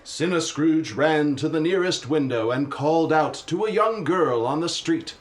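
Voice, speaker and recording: A man's voice: fairly high pitch, animated, normal speed, close to an everyday voice. A man playing the solemn narrator of a spooky Christmas radio play, reading scripted dramatic narration. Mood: solemn storytelling.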